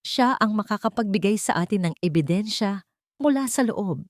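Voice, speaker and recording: A woman narrator reads as a male investigator in an audio drama story. He is professional, calm and firm, with an expressive range and a dry, close sound. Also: medium pitch, moderate pace, no echo, lightly acted.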